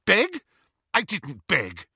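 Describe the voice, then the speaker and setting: A man voicing a spiteful, jealous girl who sneers at her sister in a shrill voice. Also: wildly swooping pitch, slow pace, gravelly, pushed and bright, slightly rough, no room sound, clean studio sound, exaggerated voice.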